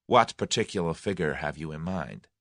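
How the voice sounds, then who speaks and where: Man playing a brash, forceful young American suitor. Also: a bass voice, expressive, normal speed, dry recording, clean studio sound, pitched below the performer's own voice, heavily acted. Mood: businesslike. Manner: forceful, plain-spoken, slangy. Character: brash, direct, warm-hearted young American businessman suitor. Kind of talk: audiobook character voice.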